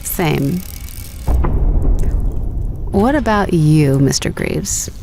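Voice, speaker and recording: A woman's voice: sits low, expressive, drawn-out words, gravelly, warm tone, soft background music, exaggerated voice. An actress playing a guarded, wry young woman explorer in an intimate sci-fi audio drama, with a dry, understated, teasing delivery over a spaceship comms link. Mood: wistful, dry.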